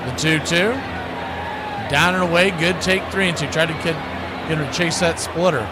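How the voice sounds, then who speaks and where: A man's voice: on the high side, normal speed, very noisy. A man doing live radio-style baseball play-by-play in clipped, punchy phrases with folksy slang.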